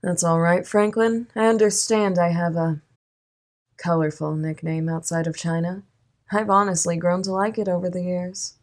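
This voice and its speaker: Woman playing low voiced lady crime boss, super polite n calm but threatening, audio drama villain. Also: lightly acted. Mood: smooth, courteous. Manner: polite, measured, coolly amused formal speech. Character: calm, menacing female crime boss, silky and playful.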